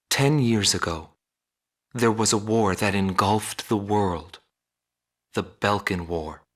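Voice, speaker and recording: A man playing a serious, inquisitive journalist who narrates a war investigation in a measured documentary style for a video game. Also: on the low side. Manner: measured, deliberate. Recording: loud music under the voice. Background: loud music.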